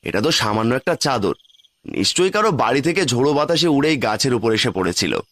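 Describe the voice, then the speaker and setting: A man voicing an anxious, earnest young village man, polite and stubborn, in an animated horror story. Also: mid-range voice, fairly quick. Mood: relieved. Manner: anxious, earnest, stammering when afraid. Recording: long reverb on the voice.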